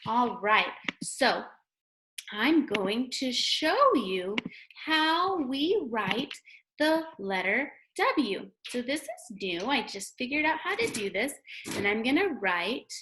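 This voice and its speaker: A woman's voice: a little high, sing-song, slow pace, a bit bright, a little hiss or hum, poor audio. A woman teacher giving a read-aloud lesson to young children, speaking simply and asking them questions, recorded at home. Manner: simple, child-directed talk with questions and sounded-out letters.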